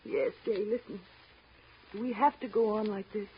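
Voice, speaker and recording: An actress playing an anxious, devoted young wife who pleads earnestly, in 1930s radio drama style. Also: female voice, mid-range voice, fairly even intonation, normal speed, husky. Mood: earnest, distressed. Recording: dull 1930s radio recording, music behind.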